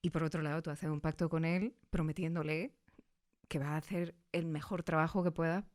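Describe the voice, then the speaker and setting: A woman's voice: low-pitched, fairly even intonation, a little fast, a little mellow. A woman with a Castilian Spanish accent interviewing guests casually in a recording studio.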